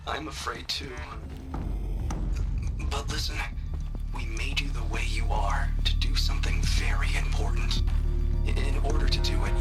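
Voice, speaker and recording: A man playing a gentle scientist in a video game, reassuring and halting, with a breathy, hushed voice. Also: medium pitch, far from the mic, close to an everyday voice. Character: gentle, reassuring male scientist comforting his AI.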